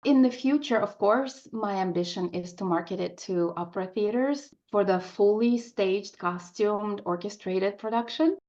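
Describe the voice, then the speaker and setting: A woman's voice: medium pitch, normal speed, few pauses, a little mellow. A woman speaking as an interview guest on the radio, explaining her project. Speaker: interview guest, opera composer. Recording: dull, band-limited sound.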